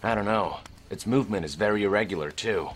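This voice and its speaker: A man's voice: on the low side, quick pace, slight background noise, lightly acted. A man playing a cocky, wry young army sergeant in an audio drama, with a casual, wisecracking manner. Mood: alert, uneasy.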